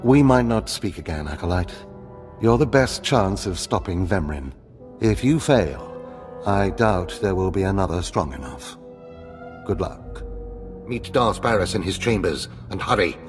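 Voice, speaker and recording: A solemn Sith mentor in a video game. His deep voice is grave and urgent, with clipped phrases over faint music. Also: animated, unhurried. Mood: grave urgency.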